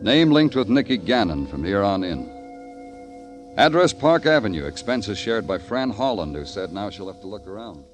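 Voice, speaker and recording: An actor playing a world-weary city police detective in 1950s radio noir style, terse, wry and brooding. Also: male voice, lowish voice, sing-song, moderate pace. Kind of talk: 1950s radio noir acting, hardboiled narration. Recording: old radio recording with music.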